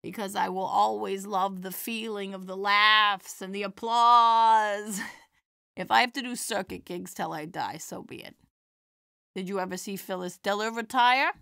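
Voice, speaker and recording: A woman playing a feisty, warm-hearted elderly stand-up comedienne in an audio drama, with wry theatrical comic delivery. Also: medium pitch, steady tone, a little slow, vocal fry, clear, resonant tone, no room sound, studio quality. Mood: earnest, encouraging.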